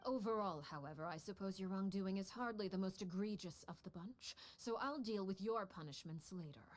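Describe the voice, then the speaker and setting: A woman voicing a stern, icily composed head of an assassin household in a video game, speaking low and measured, often near a whisper. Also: natural voice. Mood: coldly composed. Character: cold, stern mother figure called Father, head of an assassin household. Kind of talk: video game story dialogue.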